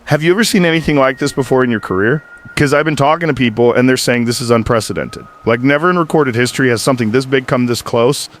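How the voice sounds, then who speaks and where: A man's voice: mid-range voice, lively intonation, fast talker, a little hiss or hum, lightly acted. A man playing a laid-back, curious podcast host in an audio drama, talking in a chatty, musing and informal way. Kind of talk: casual podcast interview in a scripted audio drama.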